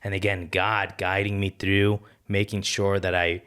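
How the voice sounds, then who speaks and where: A man's voice: low-pitched, level tone, unhurried, a bit bright, clear, resonant tone. A man, a podcast guest telling personal stories in an earnest, colloquial way. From born in Ecuador, moved to the United States. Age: 30s. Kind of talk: casual personal storytelling.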